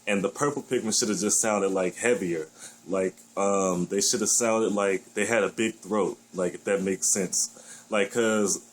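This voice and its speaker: A man with a deep voice giving his blunt, opinionated take on a new game in a casual vlog, swearing freely as he gripes. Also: fairly even intonation, unhurried, rough recording. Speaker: gaming vlogger.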